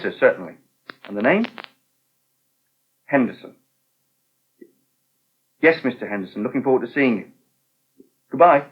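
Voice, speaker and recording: A helpful, touchy young English restaurant worker in a vintage British radio soap. His voice is rough-edged and very lively in pitch, with brisk, plain delivery. The sound is narrow and thin, like an old broadcast tape. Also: heavily acted. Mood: brisk, businesslike. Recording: thin, band-limited old radio recording.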